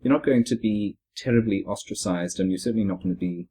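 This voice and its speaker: Husky-voiced man with a foreign accent. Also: on the low side. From born abroad, moved to Australia at fifteen. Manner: measured, reflective, with frequent "uh" and soft "mm-hmm" backchannels. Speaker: podcast host. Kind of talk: casual podcast discussion.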